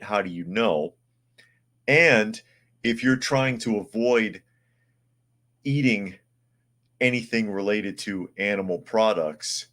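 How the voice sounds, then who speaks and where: A man's voice: fairly low pitch, a bit bright. A man hosting a live news podcast, giving sarcastic, opinionated commentary while reading articles aloud and answering viewer comments. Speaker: podcast host.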